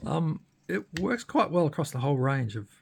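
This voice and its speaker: A man giving a casual hands-on demonstration as a radio hobbyist, often hesitating and pausing mid-sentence in a creaky voice. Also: on the high side, very animated, slight background noise.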